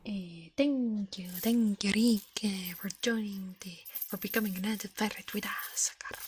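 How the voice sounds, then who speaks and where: A woman doing an ASMR livestream, chatting to viewers in a breathy, mostly whispered voice and softly repeating her phrases. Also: mid-range voice, slight background noise. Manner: slow-drifting, repeats words and phrases softly.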